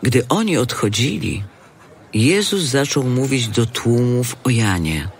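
A male narrator tells a scripture story dramatically. His mid-pitched voice is slow and very varied in melody. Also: expressive, a little hiss or hum.

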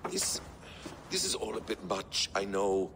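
An actor playing a calm, scheming ancient man who speaks in a measured, quietly menacing way, in a video game cutscene. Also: on the low side, noisy background. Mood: composed.

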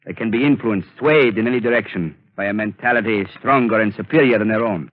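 An actor playing a menacing mind-control villain. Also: male voice, lowish voice, quick pace, natural voice. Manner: smooth, menacing, theatrical. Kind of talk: 1930s radio drama acting. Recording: tinny old radio broadcast recording.

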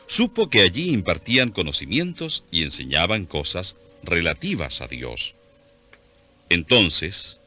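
Very deep, sharp-toned male narrator. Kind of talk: scripted dramatic narration for a radio play.

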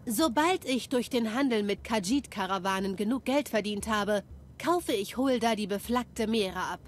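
A woman's voice: mid-range voice, normal speed, lightly acted. An actress voicing an ambitious young female townswoman trader in a game, speaking earnestly and friendly. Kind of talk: game voice acting.

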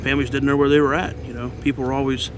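A man on a ghost hunting team, musing haltingly outdoors at night with many pauses. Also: medium pitch, flat delivery, noisy background. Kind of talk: casual reflective talk.

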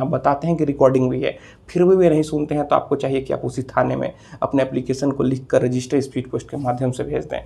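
A man in his thirties talks as an instructive video host, explaining things step by step. His voice is mid-pitched and husky, with little melodic movement, and he often circles back over his phrases. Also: darkish tone. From India. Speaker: legal advice video host. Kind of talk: explanatory legal advice to camera. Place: small office room, indoors.

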